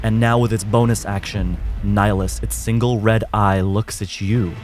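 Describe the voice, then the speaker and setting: A man acting as game master, narrating combat in a measured, descriptive way. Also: lowish voice, steady tone, a little mellow. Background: music.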